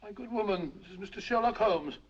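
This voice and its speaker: An actor playing a bluff, loyal older doctor with a gravelly voice, in 1940s film style. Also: male voice, high voice, lively intonation, fairly quick. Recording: dull lo-fi 1940s film sound with faint hiss.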